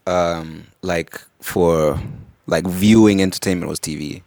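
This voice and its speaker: A man's voice: low voice, slow pace, a little mellow, dry recording, clean studio sound. A man in his thirties from Morocco, co-hosting a podcast in an easygoing, casual way, with many fillers. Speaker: podcast co-host. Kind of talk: casual chat.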